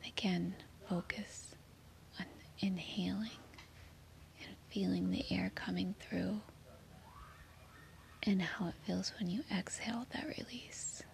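A woman guiding a children's sleep meditation in a soothing, gently reassuring manner. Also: medium pitch, steady tone, slow, vocal fry, long pauses, slight background noise. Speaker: meditation guide.

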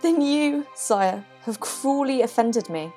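A woman's voice: fairly high pitch, animated, slow pace, mellow, soft background music. An actress playing a proud, wounded French queen in a period audio drama, with a formal, regal manner. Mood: wounded, indignant.

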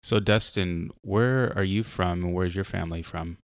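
A man hosts a relaxed interview in a very deep voice. It has a gravelly edge yet a smooth, resonant core, recorded dry and close. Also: normal speed, dry recording. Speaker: host. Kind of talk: casual conversational interview.

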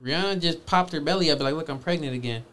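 A man's voice: on the high side, fast talker, fairly bright tone. A man co-hosting a podcast in casual chat, blunt and slangy, arguing his points and swearing freely. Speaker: podcast co-host.

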